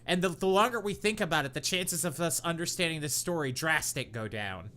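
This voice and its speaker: A man's voice: on the high side, lively intonation, fairly quick, background noise. A man hosting a comedy read-aloud, stumbling over clumsy prose with incredulous, mocking asides and a tendency to crack up. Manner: breaks into laughter and stumbles while reading. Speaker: podcast host reading aloud. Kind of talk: comic read-aloud of bad fan fiction with asides.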